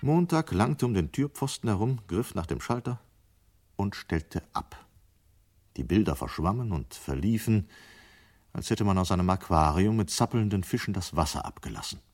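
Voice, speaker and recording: Deep-voiced male radio drama narrator. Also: big pitch swings, moderate pace, darkish tone. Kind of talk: scripted literary narration.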